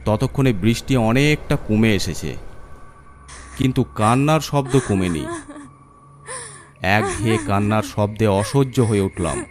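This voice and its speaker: A man's voice: on the low side, a little slow, long pauses, crowd noise. A man narrating a first-person horror story in a theatrical, suspenseful way. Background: music.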